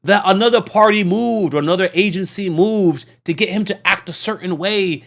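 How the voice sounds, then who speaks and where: High-voiced man preaching earnestly. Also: moderate pace, a bit bright. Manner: preachy, earnest, frequent restarts and stammers. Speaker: reaction video host. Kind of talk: casual rambling monologue.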